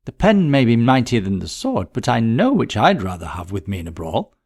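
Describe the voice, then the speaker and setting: A man's voice: mid-range voice, very animated, brisk, creaky, a little mellow. A man voicing a distressed, bitter woman in a mystery story, talking in a dramatic, gossipy, emphatic way. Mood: emphatic, dismissive. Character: distressed, bitter middle-class woman recounting a friend's death.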